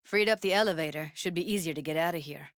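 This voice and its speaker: A woman's voice: sits low, fast talker, dry recording, studio quality. A woman voicing a streetwise, guarded young techie in a video game, blunt and slangy, with an American accent. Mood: focused, dry. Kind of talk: naturalistic video game dialogue.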